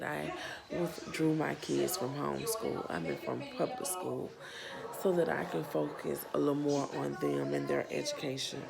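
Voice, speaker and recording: A woman in her thirties with a Southern US accent, speaking earnestly and haltingly as a parent who runs a nonprofit, her voice a bit worn. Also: low-pitched, creaky. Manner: slow, earnest, halting with pauses and restarts. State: losing her voice. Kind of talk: personal vlog testimony.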